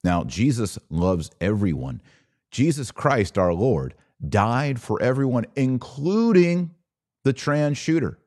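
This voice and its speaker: A man's voice: mid-range voice, big pitch swings, slow, a little mellow. A man hosting a podcast, giving a blunt, informal religious commentary in an emphatic, preachy way. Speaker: podcast host.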